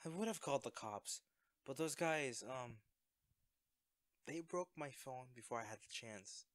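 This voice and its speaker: A man plays a secret superhero in a one-sided audio drama, awkward and self-effacing. His mid-pitched voice stammers and hedges as he covers up for himself with a close friend. The sound is close and very dry. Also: lively intonation, measured pace, fairly bright tone, no echo. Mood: sheepish, weary. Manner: hesitant, stammering, evasive.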